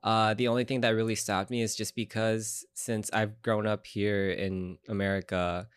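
A man from Ecuador, a podcast guest, chatting casually in a relaxed, rambling way with lots of fillers. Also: on the low side, normal speed, clear, resonant tone, dry recording. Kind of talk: casual chat. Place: podcast studio.

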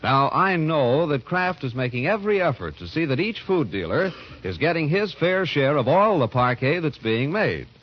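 An American man works as a 1940s radio announcer. His fairly high voice is husky and moves with lively intonation. The recording is old and muffled. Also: moderate pace.

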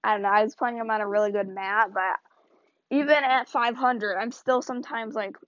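A woman's voice: on the high side, steady tone, normal speed, fairly bright tone, dry recording. A woman hosting a podcast in casual, rambling talk, her voice tired and strained. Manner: rambling, loose and chatty. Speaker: podcast host. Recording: dull lo-fi, dry sound.

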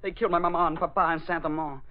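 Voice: medium pitch, steady tone, rapid-fire, close to an everyday voice. An actor playing a brave, earnest young French orphan boy with a French accent and careful formal phrasing in a 1940s radio drama. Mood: earnest, subdued. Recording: dull vintage 1940s radio broadcast.

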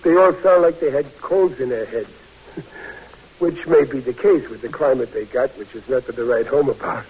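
A man's voice: mid-range voice, moderate pace, a little mellow, husky, lightly acted, poor audio. A man playing a bashful, stammering big private. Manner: stammering, folksy and shy. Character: big good-natured bashful young male army private. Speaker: soldier. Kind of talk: 1940s radio acting.

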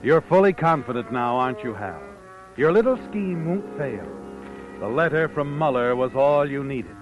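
Actor as sly, swooping old-time radio suspense narrator. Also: male voice, medium pitch, expressive, normal speed, lightly acted. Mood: sly, taunting. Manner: knowing, insinuating, needling rhetorical questions. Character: sly all-knowing narrator taunting a schemer. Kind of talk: 1940s radio suspense narration, second person. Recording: muffled old radio broadcast, music behind.

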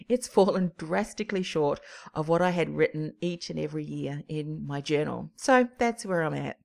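A woman hosts her show and shares a personal story of loss and faith. Her voice sits low, with a gravelly edge, and she speaks earnestly, slowing into soft pauses. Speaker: podcast host.

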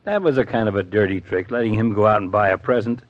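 An actor playing a wry, genial middle-aged doctor and family friend in a 1950s radio comedy. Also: male voice, fairly low pitch, quick pace, close to an everyday voice. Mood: wry, teasing. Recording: muffled old radio recording, faint hiss.

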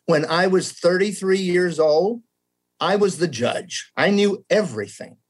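A man's voice: sits high, unhurried. A man in his seventies, talking through a theology question in an earnest, reflective way, sometimes poking fun at his younger self. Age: 70s. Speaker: guest scholar. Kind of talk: conversational theology discussion.

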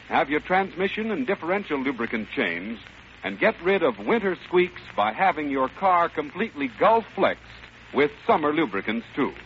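A man reading a folksy, polished 1940s radio advertisement as a commercial announcer. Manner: folksy, polished sales pitch. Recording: dull, noisy old radio broadcast.

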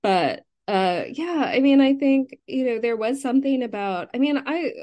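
A woman talking casually as a novelist being interviewed, haltingly thinking aloud and often restarting her sentences. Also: high voice, animated, a little mellow.

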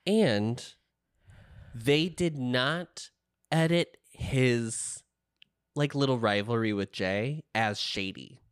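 A man's voice: medium pitch, drawn-out words, clear, resonant tone, no room sound. A man chats casually about a show he has just watched, making playful jokes and dramatic asides. His voice sits in a middle range. The recording is close and dry. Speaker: podcast co-host. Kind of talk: casual reaction chat.

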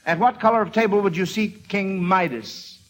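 A man hosts a vintage radio quiz in a fairly high voice. He addresses children like a class, pausing and hesitating between phrases. It sounds like an old broadcast. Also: fairly bright tone, slight background noise. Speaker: quiz show host.

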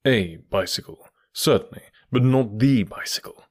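A man voicing a brisk, methodical middle-aged male detective who explains his reasoning with precise confidence. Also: mid-range voice, lively intonation, dry recording, clean studio sound, close to an everyday voice. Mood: analytical.

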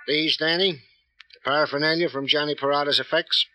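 An actor playing an eager, wisecracking police colleague with florid, theatrical talk in an old radio crime drama. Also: male voice, a little high, steady tone, brisk, bright, forceful tone, faint music behind.

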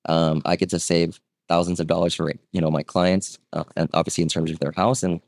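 This is a man in his thirties with a very deep voice, talking as a guest on a casual podcast. He tells his story in a loose, chatty way, peppered with "um" and "right?", and acts out the people he quotes. The recording is dry, with no room echo. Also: little pitch movement, fairly quick, creaky, darkish tone. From Vietnam. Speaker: guest, real estate agent.

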